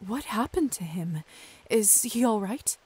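A woman's voice: mid-range voice, lively intonation, measured pace, mellow, slightly rough. An actress playing a proper, curious young woman newly turned vampire in a game, earnest and polite. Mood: inquisitive. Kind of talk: video game voice acting. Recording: game music behind the voice.

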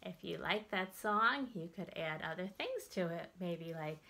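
A middle-aged American woman hosting a children's storytime, reading aloud and explaining in an expressive, deliberate way for young listeners. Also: lowish voice, creaky, a little hiss or hum. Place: indoors, plain-walled room.

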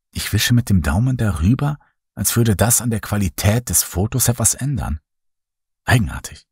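Low, husky male horror narrator. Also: a little mellow, no echo, studio quality. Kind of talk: scripted horror audiobook narration. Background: faint music bed.

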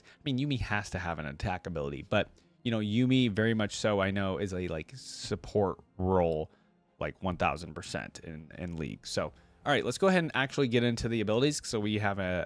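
A man's voice: lowish voice, no echo. A young man hosting casual reaction commentary, close on a desk microphone. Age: young adult. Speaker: reaction video host. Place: small indoor room at a desk. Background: music from the watched videos.

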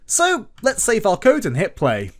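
A man narrates a screen-recorded tutorial in a somewhat high voice. His pitch moves up and down a great deal as he explains each step in an instructional way. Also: huge pitch swings, unhurried. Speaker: tutorial presenter.

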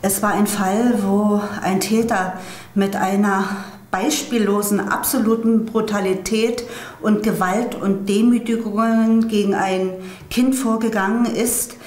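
A woman's voice: medium pitch, fairly even intonation, a little slow, darkish tone, reverberant. A woman in her fifties, a public prosecutor, giving a news interview statement in an office. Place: office with file shelves.

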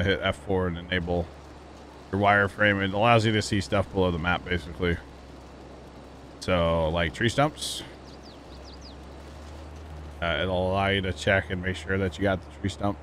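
A relaxed man with a low voice hosts a gaming livestream from his home setup. He chats loosely and laughs often, with a faint hiss under the sound. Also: normal speed, pauses often. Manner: laid-back, chatty, laughs often. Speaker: livestream host. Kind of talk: casual gaming stream chat.

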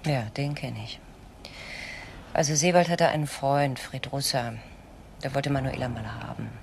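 A woman's voice: very deep voice, normal speed, gravelly, a little mellow, a little hiss or hum. An actress playing a guarded, protective woman in a German radio crime drama, speaking insistently and articulately. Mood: grave, insistent. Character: controlling, protective woman shielding a frightened younger friend.

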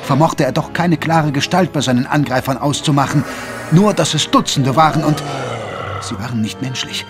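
A man's voice: on the high side, normal speed, music in the background, close to an everyday voice. A man playing a grave, literary Victorian chronicler, narrating an audio drama in a solemn storytelling manner. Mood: tense, urgent.

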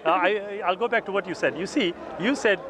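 A man's voice: sits high, fast, a bit bright. A middle-aged man with an Indian accent, debating persistently in an outdoor street debate and repeating himself to hold the floor. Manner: persistent, repeats names and phrases to take the floor. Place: outdoor park among a crowd. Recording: outdoor crowd chatter behind.

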